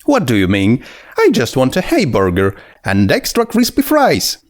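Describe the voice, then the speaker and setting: Man putting on a high, bubbly female skeleton pony. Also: huge pitch swings, normal speed. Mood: chirpy, polite. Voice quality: high, light. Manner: bubbly, full of energy. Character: cheerful, polite young female skeleton pony.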